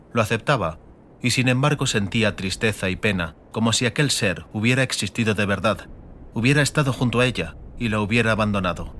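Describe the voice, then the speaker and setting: A man's voice: lowish voice, a bit bright, a little hiss or hum. A man with an accent from Spain, narrating a fantasy audiobook in a dramatic scripted style. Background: music.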